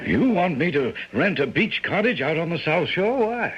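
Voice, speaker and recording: A man's voice: fairly high pitch, sing-song, moderate pace, soft background music. An actor playing a puzzled, sceptical man in a radio drama, with a questioning, incredulous delivery. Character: sceptical, puzzled man being drawn into a scheme. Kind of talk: old-time radio drama acting. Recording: muffled radio recording.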